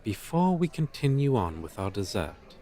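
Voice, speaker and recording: A man's voice: fairly low pitch, very animated, moderate pace, dark tone. A man playing a pompous, flirtatious dragon teacher in an ASMR roleplay, speaking in florid, formal, condescending phrases. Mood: flirtatious, teasing. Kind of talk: ASMR roleplay, slow theatrical delivery.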